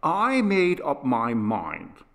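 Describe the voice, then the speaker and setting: A man's voice: a little high, big pitch swings, slow, fairly bright tone, voice pushed up, exaggerated voice. A man voicing a feverish, eager young boy who pleads urgently and insistently in an audiobook story. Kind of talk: dramatised audiobook character reading.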